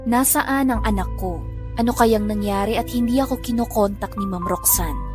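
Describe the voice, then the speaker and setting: A woman's voice: mid-range voice, moderate pace, music in the background, natural voice. A woman with a Filipino accent narrating in first person as a worried mother, in a sorrowful scripted drama style. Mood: sorrowful, anxious.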